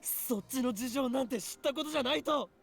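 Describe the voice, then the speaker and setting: A man's voice: extremely high pitch, racing, vocal fry, fairly bright tone, full character voice. An actor playing a defiant, desperate young man in a Japanese visual novel. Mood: angry, defiant.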